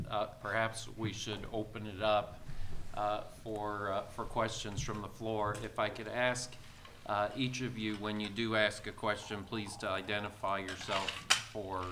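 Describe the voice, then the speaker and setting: A male moderator runs the panel with flat, even intonation and a bright, forward tone. He speaks haltingly, with frequent fillers. Faint noise sits under the recording. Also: on the low side. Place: conference hall.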